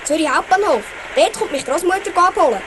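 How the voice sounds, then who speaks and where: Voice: very high voice, lively intonation, a little fast, background noise. An actor playing a polite, eager village schoolboy of about twelve in a Swiss German children's radio play. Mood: polite, chatty. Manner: very polite, chatty, earnest.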